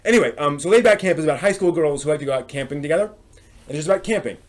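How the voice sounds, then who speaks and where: Man giving halting, discursive anime reviews. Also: on the high side, lively intonation. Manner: halting and discursive, circles back to rephrase. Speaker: host of an anime news and review live stream. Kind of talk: casual unscripted review commentary.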